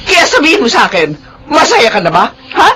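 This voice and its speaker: An actress playing a betrayed, anguished middle-aged wife in a Filipino radio drama, shrill and melodramatic. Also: female voice, very animated, brisk, bright, forceful tone, a little hiss or hum. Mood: outraged, anguished.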